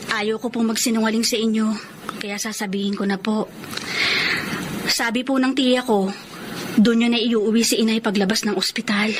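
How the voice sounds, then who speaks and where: A woman's voice: on the high side, steady tone, normal speed, pauses often, noisy background, natural voice. An actress playing an earnest, respectful young working woman with hurt feelings in a radio drama. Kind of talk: radio drama acting in Tagalog.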